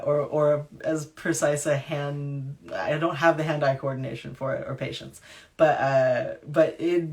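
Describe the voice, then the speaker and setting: Brief: author in her thirties, low gravelly voice, hushed storybook reading then loose live chat at a home webcam. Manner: hushed, whispery reading; chatty with fillers in talk. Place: dim room at home.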